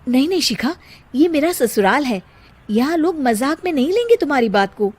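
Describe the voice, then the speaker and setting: A woman voicing a cautious young married elder sister who talks in a gentle, scolding way in a cartoon story. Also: sits high, sing-song, moderate pace, pitched above the performer's own voice.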